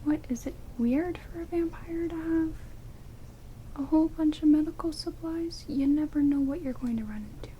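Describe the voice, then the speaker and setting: A woman's voice: high voice, moderate pace, lo-fi sound, natural voice. A woman playing a sardonic, reluctantly helpful vampire in a whispered ASMR roleplay, dry and rude, close on binaural mics. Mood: grudgingly helpful. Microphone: close binaural microphones. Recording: binaural whisper with steady rain noise. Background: heavy rain.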